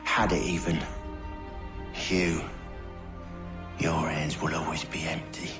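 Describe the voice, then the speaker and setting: Cynical greedy game villain, low slow drawl of contempt, blunt, taunting, echoey, music underneath. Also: male voice, little pitch movement. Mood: scornful taunting. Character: cynical, coarse, condemned villain, a greedy hired conspirator. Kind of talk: dramatic video game acting.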